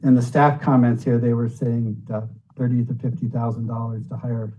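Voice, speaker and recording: A hoarse man, a county commissioner, making a measured, reasoned case at a public meeting. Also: mid-range voice, little pitch movement, mellow, dull audio, slight background noise. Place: meeting room.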